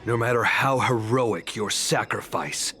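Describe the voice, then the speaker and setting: An actor voicing a stern soldier who speaks with measured contempt. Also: male voice, mid-range voice, sharp tone, faint music behind.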